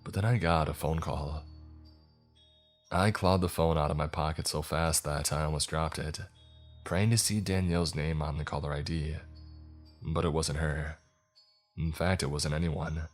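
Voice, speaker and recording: A man's voice: rumbling low, steady tone, normal speed, long pauses, soft background music. A man reading scripted first-person horror fiction as a narrator, with dramatic, suspenseful storytelling.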